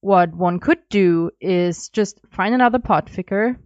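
A woman's voice: mid-range voice, unhurried, darkish tone, dry recording, dull audio. A woman who is a non-native English speaker, giving informal recorded listener feedback. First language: not English. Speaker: listener sending in audio feedback.

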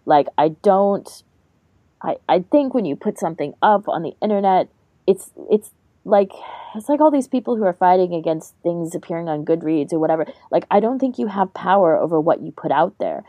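A woman's voice: fairly low pitch, lively intonation, moderate pace, no echo, phone-quality sound. A woman co-hosting a podcast in a casual discussion, thinking aloud in an informal way. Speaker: podcast co-host.

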